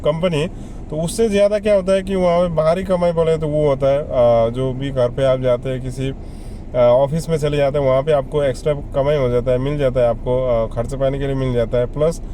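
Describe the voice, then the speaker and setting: Male vlogger, slightly high mellow voice, casual and repetitive explainer. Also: fairly even intonation, fairly quick, a little hiss or hum. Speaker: vlogger giving job advice. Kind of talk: casual explainer addressed to viewers.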